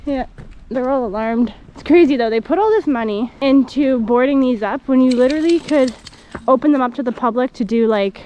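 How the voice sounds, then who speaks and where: A woman explaining things in a casual, matter-of-fact way while exploring outdoors. Her voice sits a little high. Clear outdoor ambience runs behind her on the camera recording. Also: a little fast, dark tone, poor audio. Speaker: vlogger exploring abandoned asylum grounds. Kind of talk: casual chat while walking. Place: outdoors on abandoned grounds. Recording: outdoor background noise.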